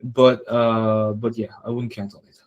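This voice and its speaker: A man who works as a software developer, talking casually and tentatively through technical points on a team call. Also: lowish voice, flat delivery, measured pace, dull audio.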